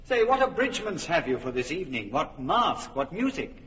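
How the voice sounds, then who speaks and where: Commanding, amused duke: theatrical verse, wide-ranging pitch, reverberant hall. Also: male voice, big pitch swings, moderate pace, a bit bright. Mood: eager, commanding. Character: genial, commanding duke presiding over his court. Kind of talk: classical stage verse acting. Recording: muffled hall recording.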